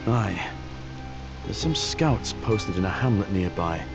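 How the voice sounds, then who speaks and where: A man playing a gruff, resolute northern sworn brother in a video game, speaking in a terse, measured way. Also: on the low side, expressive, highs cut off, exaggerated voice. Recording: loud music behind.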